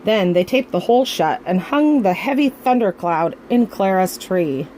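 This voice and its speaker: A woman reading a children's picture book aloud in the expressive manner of a grandmother reading to kids. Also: mid-range voice, moderate pace, a little hiss or hum. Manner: expressive, acting out the dialogue.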